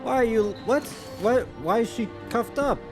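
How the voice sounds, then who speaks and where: A man's voice: very high voice, normal speed. A man giving casual, off-the-cuff commentary as a reaction video host, rambling and thinking aloud. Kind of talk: casual off-the-cuff commentary while watching game cutscenes. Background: game cutscene audio and music.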